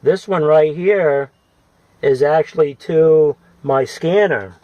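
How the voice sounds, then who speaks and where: A man giving a casual, halting, folksy hands-on demonstration as a radio hobbyist, from the United States. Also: on the high side, darkish tone, dull audio. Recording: noisy home video with radio sound.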